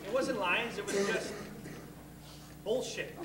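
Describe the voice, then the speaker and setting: A man on stage playing a laconic, evasive blues musician, the deadpan sidekick in a comedy. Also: high-pitched, takes it slowly. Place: theater stage, recorded from the audience. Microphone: far camera. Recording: echoey theater hall, distant noisy camera mic.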